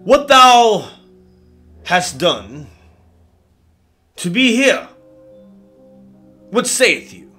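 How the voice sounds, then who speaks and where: Indignant spectral army colonel, played by a male storyteller, pompous archaic speech, mock old English accent, raised pitch, ringing bright delivery. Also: very animated, very slow, pauses often, faint music behind, heavily acted. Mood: stern questioning.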